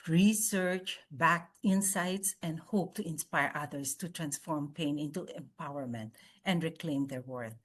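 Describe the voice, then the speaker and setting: A woman's voice: low-pitched, a little slow. A woman hosting a podcast interview, talking casually with many hesitant fillers. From Philippines. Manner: hesitant, frequent fillers, cheerful. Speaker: podcast host.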